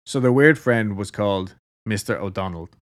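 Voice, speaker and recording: A man's voice: fairly low pitch, normal speed. A man with an Irish accent casually telling a story to a co-host, laughing often and swearing freely. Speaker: podcast co-host.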